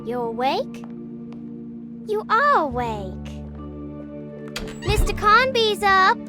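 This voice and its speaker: A woman playing a gentle, eerily serene asylum resident in a horror game. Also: extremely high pitch, very animated, takes it slowly, music in the background, heavily acted. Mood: soothing. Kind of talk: cinematic horror game acting.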